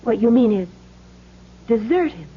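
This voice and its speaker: An actress playing a loyal, plucky young wife in a 1970s radio drama, speaking earnestly and with emphasis. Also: female voice, a little high, sing-song, a little slow, husky, narrow, tinny audio, full character voice. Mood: firm, indignant. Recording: thin, band-limited old radio recording.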